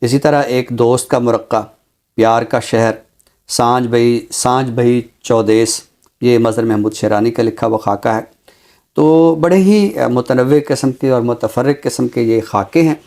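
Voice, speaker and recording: A man with a Pakistani accent presenting a literary book review in a measured, scholarly, deliberate way. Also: mid-range voice, a little mellow. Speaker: literary guest presenter introducing books.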